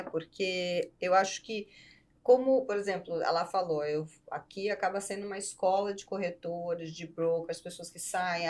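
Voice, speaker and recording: A woman hosting a podcast, asking questions and affirming her guest with short interjections. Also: fairly low pitch. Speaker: podcast host.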